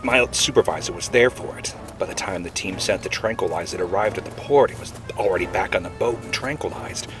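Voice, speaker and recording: A man playing an older, steady and cautious veteran wildlife agent in an audio drama. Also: low voice, a little fast, few pauses, natural voice. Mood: serious. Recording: music under the voice. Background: background music.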